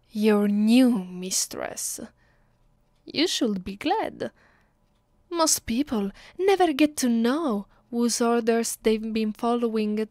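A woman with an Italian accent voicing a cruel, bored, centuries-old vampire mistress in an ASMR roleplay, deliberate and condescending. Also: on the high side, darkish tone, no room sound, clean studio sound. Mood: imperious, gloating. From Italy. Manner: slow, deliberate, menacing, condescending.

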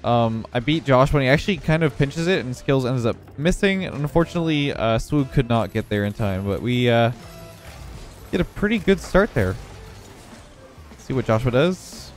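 A young man talks through his match as he plays, his voice a bit stuffed up and congested. His delivery is relaxed and conversational, at a middle pitch, with faint game music underneath. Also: normal speed. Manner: relaxed running commentary on his own play. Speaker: gaming video creator. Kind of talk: live gameplay commentary.

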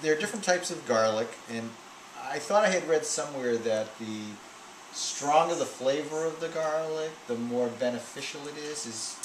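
A man hosting a cooking class, chatting casually inside a farm stand and pausing on many "uh" fillers. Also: a little high. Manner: friendly, halting, many "uh" fillers. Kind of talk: casual interview on a farm visit. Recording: echoey room with loud background noise.

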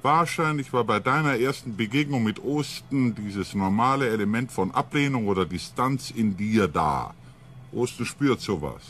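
A man playing a calm, patient ship psychologist who explains things emphatically in a science fiction radio drama. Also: fairly low pitch, normal speed, slight background noise. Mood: patient, insistent.

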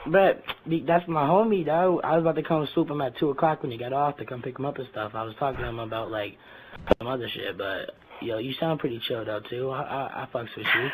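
Male prank caller, cocky and pushy, rapid slangy delivery, clicky noisy game-chat audio. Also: mid-range voice. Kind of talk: improvised prank phone call, slangy street talk.

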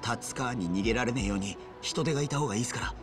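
An actor playing an earnest young yakuza underling in a video game, respectful yet wry, with rough clipped deference. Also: male voice, mid-range voice, rapid-fire, music in the background, natural voice. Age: young adult. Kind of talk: video game cutscene acting.

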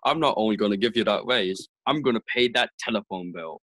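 A man's voice: on the low side, expressive, brisk, fairly bright tone, dry recording. A man playing a hearty old boss. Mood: jovial. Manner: hearty, chuckling. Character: jovial older male boss, Mr. Atterbury. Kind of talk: 1940s radio acting.

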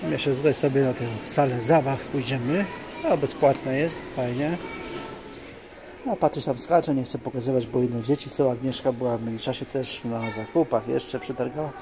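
A man's voice: mid-range voice, moderate pace. A man narrating a casual family vlog with dry jokes, filming on a phone outdoors and in a shopping mall. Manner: chatty, dry joking asides. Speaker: vlogger filming his family. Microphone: handheld phone camera. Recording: noisy outdoor phone recording.

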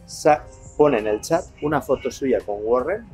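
Middle-aged male, Spain accent, rambling street-side storyteller, mid pitch, faint music behind. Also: expressive, a little slow, darkish tone. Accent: Spanish from Spain. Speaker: guest telling anecdotes. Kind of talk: casual anecdotal chat. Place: outdoors on residential and city streets.

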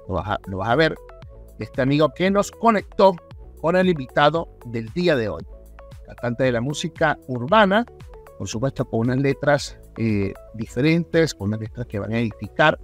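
Middle-aged podcast host over music. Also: male voice, a little high. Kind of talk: conversational interview hosting. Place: indoor room on a video call. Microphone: desk microphone close in front.

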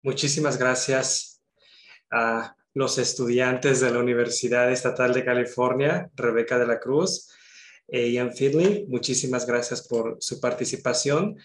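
A man's voice: mid-range voice, steady tone, measured pace. A man in his thirties moderating an online conference session over a headset, hesitating often with "eh" and "este". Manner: hesitant, many "eh" and "este" fillers. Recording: roomy video call audio.

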